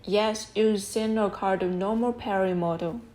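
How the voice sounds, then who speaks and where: A woman's voice: mid-range voice, fairly even intonation, measured pace, mellow. A woman presenting a product review as scripted narration, explaining things step by step in an instructional way.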